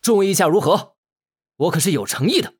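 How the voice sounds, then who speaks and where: A man playing a gentle but defiant, self-sacrificing young immortal in an audio drama, with earnest, expressive delivery. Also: high voice, fairly quick, a little hiss or hum. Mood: resolute. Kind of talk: Chinese audio drama acting.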